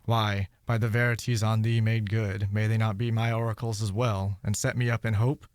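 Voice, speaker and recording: A young man playing a suspicious, brooding noble warrior in a Shakespearean radio play, speaking verse deliberately. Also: lowish voice, flat delivery, darkish tone, smooth, no echo, close to an everyday voice. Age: young adult. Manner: slow, deliberate verse delivery.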